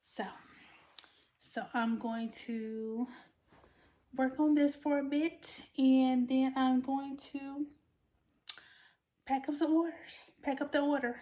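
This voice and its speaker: A woman, chatting casually about her crochet work in a rambling, informal way while her voice is a bit hoarse. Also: high voice, slow, pauses often. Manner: relaxed, rambling, many ums, informal slang. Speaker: crochet vlogger. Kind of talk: casual chat while crafting.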